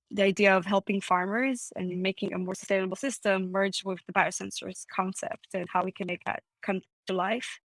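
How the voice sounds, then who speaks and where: A woman in her thirties from Israel, a startup CEO explaining her business clearly on a video call. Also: mid-range voice, fairly bright tone, no echo, poor audio. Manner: articulate, explanatory. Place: home room, indoors.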